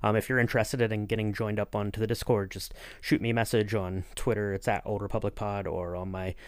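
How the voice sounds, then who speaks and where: A man hosting a podcast in casual chat, talking in a halting, rambling way. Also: on the low side, little pitch movement, clear, resonant tone, no room sound. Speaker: podcast host and interviewer.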